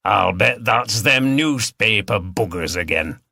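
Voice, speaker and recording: A man voicing a gruff, plain-spoken old rustic Yorkshire hill farmer in an audiobook. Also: lowish voice, lively intonation, measured pace, pushed and bright, no room sound, clean studio sound, heavily acted. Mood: grumbling. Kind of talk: audiobook character dialogue.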